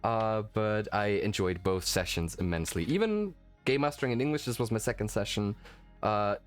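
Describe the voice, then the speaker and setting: A man's voice: lowish voice, expressive, normal speed. A man who is a native German speaker chats casually as game master and host after a game, talking in a rambling, enthusiastic way. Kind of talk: casual post-game chat. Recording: faint music behind the voice. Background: faint background music.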